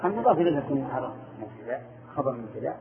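A man's voice: mid-range voice, big pitch swings, very fast, crowd noise. A man teaching Arabic grammar in class, quizzing students and confirming their answers with short replies. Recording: echoey room, phone-like narrow sound.

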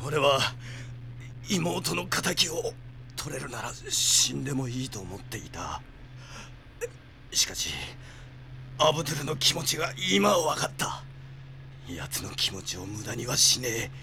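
A man's voice: mid-range voice, very animated, unhurried, creaky, pauses often, bright, forceful tone, a little hiss or hum, heavily acted. An actor playing a hot-headed young swordsman bent on avenging his sister, in an impassioned audio drama style. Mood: pained resolve. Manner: impassioned, stammering with rage, theatrical. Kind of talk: Japanese audio drama acting.

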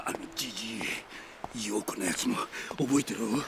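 An actor playing an irritable, suspicious master of a household, blustering and muttering in an anime radio drama. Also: male voice, fairly low pitch, normal speed, noisy background, natural voice. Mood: irritated, scheming.

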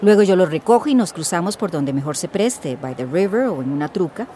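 A woman's voice: lowish voice, lively intonation, brisk, warm tone, a little hiss or hum, close to an everyday voice. An actress playing a slick, impatient female smuggler in a radio drama, slangy and mixing English words into her Spanish. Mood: breezy.

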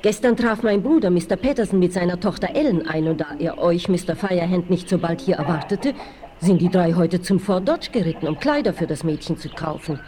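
A woman's voice: on the low side, a little fast, crowd noise, close to an everyday voice. Woman acting an anxious farm wife amid farmyard bustle. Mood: alarmed. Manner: anxious, exclamatory. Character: alarmed, earnest farmer's wife, hospitable and capable. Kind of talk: 1960s German radio play acting. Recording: old vinyl, busy farmyard effects.

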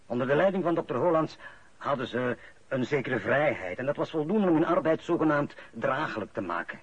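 A man's voice: fairly high pitch, animated, a little fast, slightly rough, no room sound, lo-fi sound. An actor playing an easygoing older father and veteran clerk in a radio play, folksy and coaxing. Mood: reasoning.

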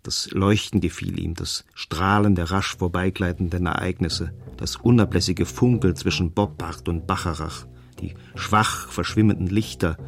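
Deep-voiced man reading literary prose. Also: vocal fry. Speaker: literary reader.

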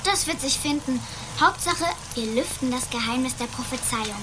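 A female actor plays a plucky child in a medieval radio drama for young listeners. The voice is high, eager and decisive, with clear background noise. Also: moderate pace, close to an everyday voice. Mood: determined. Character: bold, determined, teasing medieval child. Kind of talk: German children's radio play acting.

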